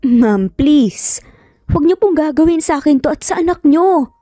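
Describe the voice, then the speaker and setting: A woman's voice: high voice, expressive, moderate pace, warm tone, dry recording, studio quality, faint music behind, pitched above the performer's own voice. A woman voicing a timid young waitress in a story, polite, nervous and imploring. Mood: pleading.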